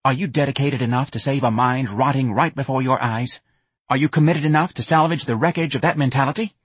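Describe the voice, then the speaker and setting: A man voicing a blunt, intense young woman activist who talks in a flat, direct, slightly hostile way. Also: medium pitch, brisk, husky, no room sound, voice pushed up, lightly acted. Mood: weary, insistent. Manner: brusque, nagging.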